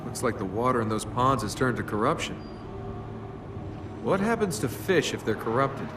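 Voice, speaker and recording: An actor playing a cocky, flippant young adventurer in a video game, wry and teasing. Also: male voice, medium pitch, sing-song, normal speed, lightly acted. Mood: casual, questioning. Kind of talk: video game cutscene acting. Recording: voice under loud music.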